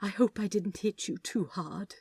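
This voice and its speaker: A woman's voice: medium pitch, normal speed, creaky, warm tone, slightly rough, dry recording, studio quality, voice pushed up. A woman voicing a fierce but caring male angel in a storybook reading, with a snapping, emphatic, commanding manner. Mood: worried, gentle.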